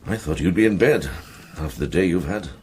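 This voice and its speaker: A man playing a kindly, thoughtful older medieval monk in a radio drama, speaking in a measured, reflective way. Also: deep voice, animated, a little mellow, a little hiss or hum. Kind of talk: BBC radio drama acting.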